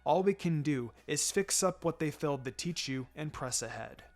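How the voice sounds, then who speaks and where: A man voicing a calm, firm male ninja teacher in a story, instructing in a measured, matter-of-fact way. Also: on the high side. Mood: stern. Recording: music under the voice.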